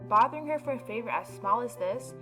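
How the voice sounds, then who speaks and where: A woman's voice: fairly high pitch, lively intonation, fast talker. A woman gaming YouTuber reading visual novel narration aloud and adding casual asides. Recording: music behind the voice. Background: visual novel game music.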